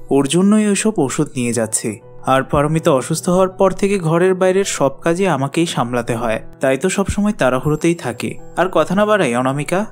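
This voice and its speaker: A man's voice: a little high, lightly acted. A man voicing a mild, hardworking village husband and father in a fairy-tale cartoon, good-natured and familiar.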